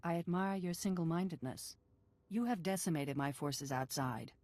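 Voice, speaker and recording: A woman playing a low-voiced, proud army general. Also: a little mellow, no echo, lightly acted. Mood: stern, composed. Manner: commanding, measured, stern. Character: hardened, proud female army general, unsentimental and defiant. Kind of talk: video game voice acting.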